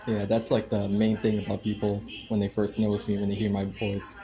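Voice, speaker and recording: A man in his twenties chatting casually on a live stream, soft-spoken and hesitant, with many fillers. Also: fairly low pitch, monotone, fairly quick, faint music behind. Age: 20s. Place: indoor room by a window.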